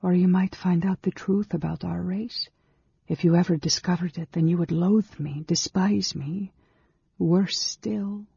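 A man voicing a gentle, sorrowful woman of a magical forest race in a storybook, tender, halting and trembling. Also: high-pitched, steady tone, highs cut off, voice pushed up, exaggerated voice. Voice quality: soft, breathy, trembling.

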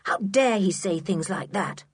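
Storybook tomboyish girl, played by a woman: alert, urgent, decisive, husky edge, dry sound. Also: medium pitch, big pitch swings, moderate pace, no room sound, pitched above the performer's own voice. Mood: determined. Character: fiery, alert girl protecting her dog. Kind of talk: children's storybook character voice.

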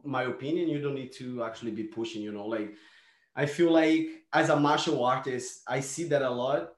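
A male host of a martial arts interview show talks casually and rambles, tagging many of his sentences with "right?" His voice is mid-pitched. The room around him sounds echoey. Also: normal speed. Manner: rambling, with many tag questions. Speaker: podcast host, martial artist.

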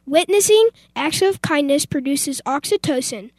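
A woman's voice: sits high, expressive, moderate pace, a little mellow, dry recording, studio quality. A female youth radio presenter reading out scripted facts.

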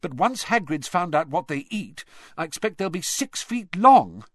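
A man voicing a clever, prim teenage girl who talks briskly and sounds exasperated. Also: a little high, wildly swooping pitch, a bit bright, no room sound, clean studio sound, pitched above the performer's own voice, heavily acted.

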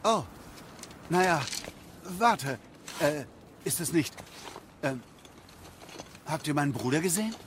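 Audio drama role: male actor as a fussy, flustered craftsman, high-pitched and sharp, squeamish. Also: animated, slow pace, pauses often, a little hiss or hum. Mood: flustered, defensive. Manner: stammering, fussy, agitated.

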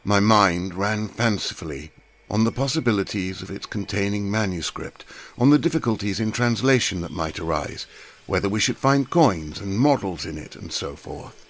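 Man, low voice, audiobook narration, measured, precise. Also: expressive. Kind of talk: scripted narration of a classic novel.

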